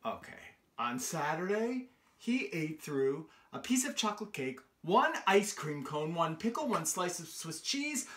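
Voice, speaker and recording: A middle-aged American man reads a picture book aloud for young children. His delivery is slow and animated, rising and falling widely with sing-song emphasis. He addresses his young listeners directly as a storytime host. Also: fairly high pitch. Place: small room indoors on a couch.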